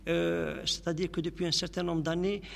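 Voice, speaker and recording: A man's voice: on the high side, level tone, moderate pace, vocal fry, long reverb tail. A man from Algeria, a poet, speaking in a radio interview and reciting his poems in a lyrical, grandiloquent way. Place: radio studio.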